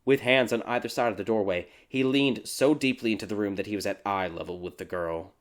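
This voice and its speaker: A man narrating a dark fairy tale audiobook in a dramatic, scripted storytelling style. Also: on the low side, brisk. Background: background music.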